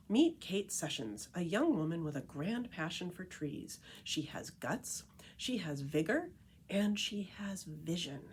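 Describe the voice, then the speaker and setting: Female library storyteller, slightly low voice, animated and emphatic read-aloud delivery for young listeners. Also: slight background noise. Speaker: librarian reading a picture book aloud.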